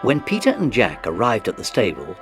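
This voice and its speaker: A man's voice: lowish voice, wildly swooping pitch, fairly quick, music in the background. A man narrating a children's adventure story from a script, in a dramatic storytelling style.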